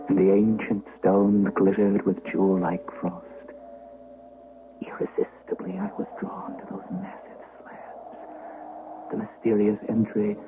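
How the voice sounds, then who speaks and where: An actor playing a grave, conscience-stricken older servant of a Duke, speaking formally and solemnly in a radio drama. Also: male voice, fairly low pitch, lightly acted. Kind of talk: 1970s radio drama acting and dramatic first-person narration. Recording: tinny, noisy old radio broadcast.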